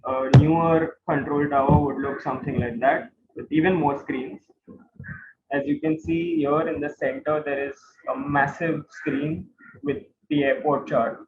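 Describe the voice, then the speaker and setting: A man's voice: fairly high pitch, fairly even intonation, measured pace, a little hiss or hum. A man with an Indian accent giving an informal explanatory lecture to students, repeating phrases for emphasis. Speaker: instructor, guide. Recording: roomy, tinny phone-like online class audio.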